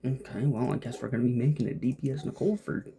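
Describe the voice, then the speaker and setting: A man's voice: mid-range voice, fairly even intonation, rapid-fire, creaky, background noise. A man giving casual, off-the-cuff gameplay commentary, muttering and thinking aloud to himself as he plays. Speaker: gamer playing and commenting.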